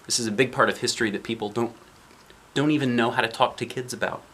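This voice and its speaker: A man's voice: medium pitch, fast, a bit bright. A man reading a picture book aloud as a dad would, expressively, with casual asides to children and weighty emphasis on key lines.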